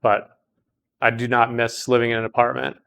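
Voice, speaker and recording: A man in his late thirties chatting casually while playing golf outdoors, easygoing and quick to laugh. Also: medium pitch, monotone, vocal fry, a bit bright, smooth. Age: 30s. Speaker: golf stream host.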